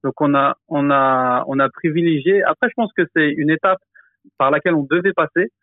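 Man explaining, tinny and higher-pitched. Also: fairly quick, dry recording. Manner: explanatory, hesitant with frequent fillers and restarts. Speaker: Quran teacher and imam. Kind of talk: podcast discussion.

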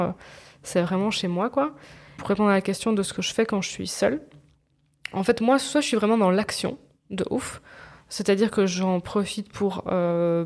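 A woman's voice: medium pitch, a little mellow. Female podcast co-host, mid-range voice, open and informal, talking personally in slangy everyday speech. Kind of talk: casual, confessional podcast chat.

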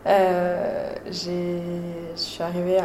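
A woman chef from Paris, talking about her career in a relaxed, halting way with many fillers. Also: lowish voice, roomy sound, slight background noise. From Paris, France. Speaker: chef and restaurant owner, guest. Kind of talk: casual interview.

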